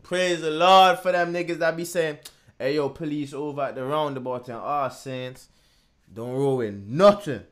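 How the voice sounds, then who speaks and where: A man in his twenties giving casual, slangy and animated commentary as he reacts to music. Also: a little high, normal speed. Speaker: reaction video host. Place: small indoor room at home. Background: music from the video he is watching.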